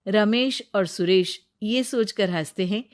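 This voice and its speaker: A woman narrator reads a scripted moral story. Her pace is slow and measured, with a middling pitch.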